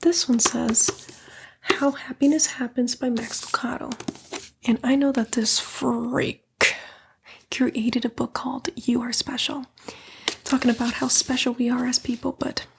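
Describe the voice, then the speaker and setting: A woman's voice: fairly high pitch, steady tone, unhurried, slight background noise. A woman voicing a sly, sassy female demon in a whispered ASMR roleplay, coaxing and flippant, with disdainful slang. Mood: scornful. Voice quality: breathy, mostly whispered.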